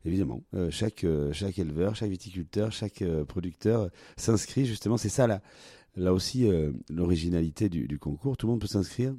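A man with a very deep, dark, mellow voice explains the rules of a competition in an interview. The sound is dry and close, and he pauses often on fillers. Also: husky, no echo. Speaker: competition organizer.

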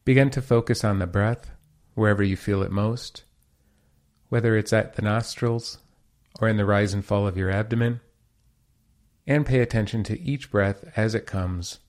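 A man leading a guided meditation as the teacher, giving calm, measured instructions. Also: lowish voice.

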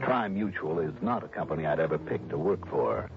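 A man playing a brisk, wry freelance insurance investigator in 1960s radio drama style. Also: a bass voice, expressive, loud music behind the voice. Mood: wry narration. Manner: wry, assertive. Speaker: lead actor and narrator of a detective radio series. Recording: noisy, dull old radio recording.

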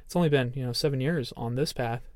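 A man in his thirties with a smooth, resonant voice talks with earnest openness. He sounds thoughtful and personal, recorded close and dry. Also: medium pitch, fast talker, a little mellow, dry recording. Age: 30s. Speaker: podcast co-host. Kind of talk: casual reflective chat.

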